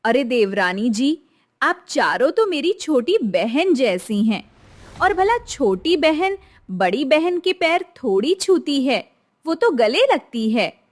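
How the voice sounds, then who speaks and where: Meek young daughter-in-law , acted by a woman: very high, unhurried, deferential, kindly, melodramatic storytelling. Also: very animated, exaggerated voice. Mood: affectionate. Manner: gentle, deferential. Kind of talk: melodramatic Hindi moral story acting.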